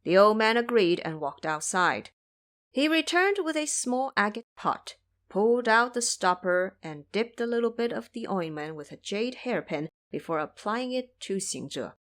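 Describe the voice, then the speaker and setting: Female narrator for an audio drama, expressive scripted storytelling, mid pitch with animated movement. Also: normal speed.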